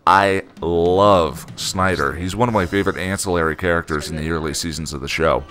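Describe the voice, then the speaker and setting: Deep-voiced man narrating a wry review. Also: steady tone, brisk, fairly bright tone. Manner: wry, dry humour. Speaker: video essay host.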